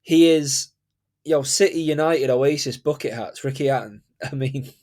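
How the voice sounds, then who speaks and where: Male Mancunian host, casual chat, animated, laughing storyteller, mid-range voice. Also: medium pitch, normal speed. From Manchester, England. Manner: animated, laughs while telling stories. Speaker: podcast host.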